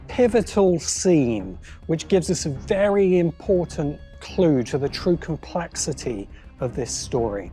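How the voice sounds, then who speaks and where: A man narrating a scripted video essay as host, phrasing deliberately with pauses for emphasis. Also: fairly high pitch, warm tone, no room sound, studio quality. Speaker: host, science fiction critic.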